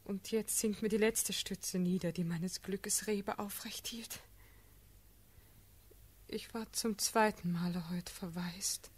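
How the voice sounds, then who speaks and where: A woman's voice: medium pitch, fairly even intonation, takes it slowly, slightly rough. An actress playing an anguished, tender young noblewoman, speaking elevated, emotional verse in a classical radio play. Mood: despairing.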